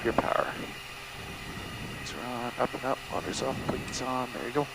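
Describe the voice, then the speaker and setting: A man of average pitch speaks as an airline first officer through a cockpit headset. His calls are clipped and procedural yet easygoing, and heavy aircraft noise runs through the intercom feed. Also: steady tone, moderate pace, vocal fry. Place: small turboprop cockpit in flight. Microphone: aviation headset. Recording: noisy aircraft intercom feed with engine drone.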